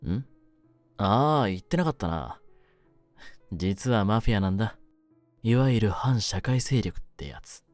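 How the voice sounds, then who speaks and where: A man playing a world-weary, lonely young mafioso in an intimate roleplay audio, speaking in a low voice with rough streetwise phrasing. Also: no room sound, natural voice. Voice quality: low-pitched voice. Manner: rough, streetwise masculine speech, brooding and soft-spoken. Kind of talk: intimate Japanese situation-voice roleplay, ASMR-like.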